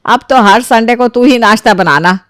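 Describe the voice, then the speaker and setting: A woman's voice: a little high, moderate pace, a bit bright, dry recording, clean studio sound, lightly acted. A woman playing a doting, easily swayed older mother-in-law in a dramatized moral story. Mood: delighted.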